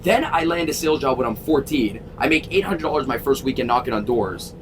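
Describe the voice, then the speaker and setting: A man in his thirties from Toronto, a sales coach telling his story in a casual interview, energetic and emphatic, swearing freely. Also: fairly quick. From Toronto, Canada. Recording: constant vehicle rumble.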